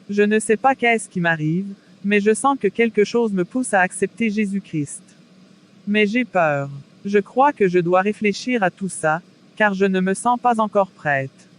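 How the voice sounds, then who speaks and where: A performer playing a worldly but soft-hearted young woman who loves parties, in a scripted animated drama. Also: mid-range voice, lightly acted. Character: worldly young female party-goer, wavering, soft-hearted.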